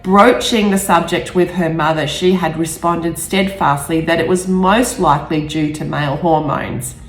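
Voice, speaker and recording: A woman's voice: lowish voice, fairly even intonation, moderate pace, slight background noise. Woman reading her novel in a roomy echo. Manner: stumbles over words and rereads phrases. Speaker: author reading own book. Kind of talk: audiobook-style narration with brief personal asides. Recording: roomy echo, faint music behind.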